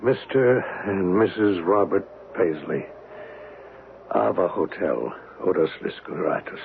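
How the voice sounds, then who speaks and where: A nervous, greedy schemer in an old radio drama. His low-leaning voice is creaky and lively, with terse, evasive replies on a dull, faintly noisy recording. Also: slow pace, heavily acted. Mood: uneasy, pleading. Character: weak, nervous, greedy male schemer without conscience. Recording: muffled old radio broadcast, faint noise.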